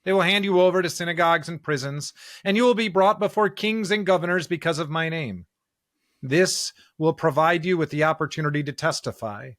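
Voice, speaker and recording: A man's voice: fairly high pitch, brisk, a bit bright, dry recording, studio quality. An American man co-hosting a church podcast, chatting casually and reading scripture. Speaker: podcast co-host and pastor.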